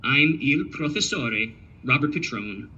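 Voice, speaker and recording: A man's voice: medium pitch, lively intonation, measured pace, bright, forceful tone, husky, lo-fi sound. A man giving a scripted history lecture, emphatic and sprinkling in Italian words.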